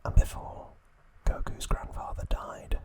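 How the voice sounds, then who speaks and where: A man hosting ASMR, reading trivia in a breathy, hushed, soothing whisper. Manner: slow, hushed, soothing delivery. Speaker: ASMR video host.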